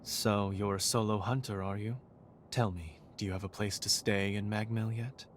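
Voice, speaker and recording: An actor playing a courteous, unflappable male researcher in a video game, speaking in a polite, measured, genteel manner. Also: fairly low pitch, fairly even intonation, slight background noise, natural voice. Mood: polite, unruffled. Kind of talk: video game cutscene acting.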